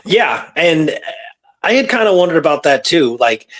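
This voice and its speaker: A man talking casually through a historical story as co-host, musing and hesitant, circling back over his phrases in a slightly husky voice. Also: on the high side, sing-song, moderate pace, fairly bright tone.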